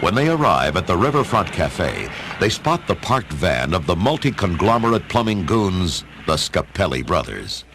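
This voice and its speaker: A man giving dramatic, measured scripted narration for an audio story, in a theatrical storytelling manner. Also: deep voice, big pitch swings. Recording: music under the narration. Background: music.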